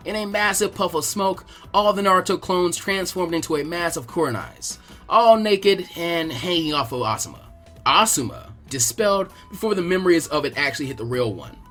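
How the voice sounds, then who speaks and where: A man narrates a fan-written story in a somewhat high voice. He reads from a script, and music plays behind him. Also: expressive, moderate pace, bright, forceful tone.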